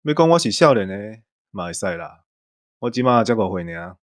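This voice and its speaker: Male host, Taiwanese accent, lightly joking, reflective conversation, slightly low, dry sound. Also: big pitch swings, normal speed, no room sound. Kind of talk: casual chat.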